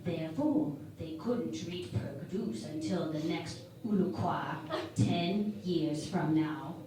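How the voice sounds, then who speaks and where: A woman playing an earnest, analytical starship science officer in a sci-fi parody radio play, speaking deliberately and matter-of-factly. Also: low voice, warm tone. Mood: grave, explanatory. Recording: very echoey room, distant mic, faint noise.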